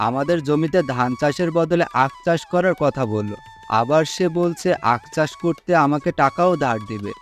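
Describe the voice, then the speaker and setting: Humble, higher-pitched poor farmer acted by a man. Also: normal speed, lightly acted. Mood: pondering, hopeful. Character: poor, gullible, humble male farmer, trusting and hesitant. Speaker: cartoon voice actor. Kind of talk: Bengali cartoon folk-tale acting. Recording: music under the voice.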